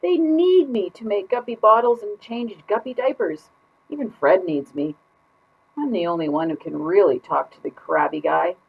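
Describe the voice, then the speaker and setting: A woman's voice: mid-range voice, moderate pace, creaky, husky. A woman reading a children's picture book aloud as a put-upon goldfish keeping a diary, with exaggerated, exasperated emphasis. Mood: worried. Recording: dull lo-fi sound.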